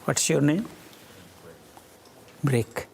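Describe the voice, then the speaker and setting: Slow gravelly man with Indian accent. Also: fairly high pitch, animated. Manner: slow, deliberate, with long pauses. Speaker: spiritual teacher. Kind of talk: satsang talk answering questions.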